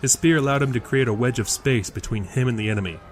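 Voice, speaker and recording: A man's voice: mid-range voice, fast, faint music behind. A man reading scripted narration for a fantasy audio drama.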